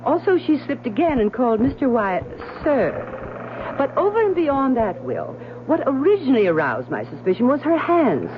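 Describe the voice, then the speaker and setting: An actress playing a shrewd, sharp-eyed gentlewoman wife in a radio drama, speaking in a knowing, firm, persuasive way. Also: sits high, very animated, normal speed. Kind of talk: 1970s radio drama acting. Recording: muffled, hissy old radio broadcast.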